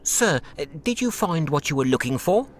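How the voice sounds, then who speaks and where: Polite dockside man, lively pitch. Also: normal speed, a little hiss or hum. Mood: helpful, deferential. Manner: deferential. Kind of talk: video game cutscene acting.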